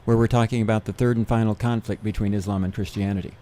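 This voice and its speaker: Mellow man explaining steadily. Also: fairly low pitch, fairly even intonation, quick pace. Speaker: seminar speaker. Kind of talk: radio interview guest explaining prophecy.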